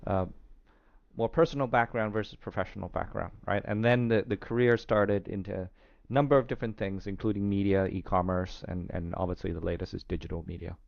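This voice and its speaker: A low-pitched man in his fifties with an American accent tells his story slowly, stopping often on fillers and restarting his sentences. The sound is dull and muffled, close and dry, with no room around it. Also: steady tone, vocal fry, dry recording. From Vietnam. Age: 50s. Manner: hesitant, many fillers and restarts, light laughs. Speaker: podcast guest. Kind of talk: reflective storytelling interview.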